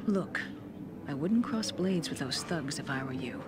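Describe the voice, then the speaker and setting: A woman playing a friendly, concerned tavern keeper in a fantasy video game, confiding and unhurried. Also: low-pitched, expressive, noisy background. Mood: cautioning.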